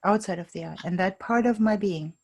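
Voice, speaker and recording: A woman's voice: mid-range voice, brisk, a little mellow. A woman leading a tapping session, calling out tapping points and giving short phrases for someone to repeat. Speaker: EFT tapping practitioner.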